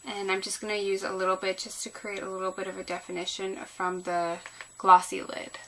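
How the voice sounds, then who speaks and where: Woman, bright voice, chatty beauty tutorial, slightly tired and groggy, faint room noise. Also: medium pitch, monotone, brisk, poor audio. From Canada. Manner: chatty, rambling. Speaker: beauty vlogger. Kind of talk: casual chatty makeup tutorial.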